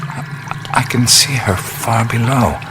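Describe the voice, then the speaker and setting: An actor in a radio play voicing an adventurer who narrates a dreamlike vision in the first person, hushed, awed and often whispering. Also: male voice, medium pitch, slow pace, heavy background noise, lightly acted. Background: music.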